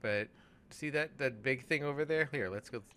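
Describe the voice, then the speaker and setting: Bright-voiced man musing over a game. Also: medium pitch, slight background noise. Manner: halting, musing, thinks aloud. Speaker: let's play co-host playing the game. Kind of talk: casual game commentary chat.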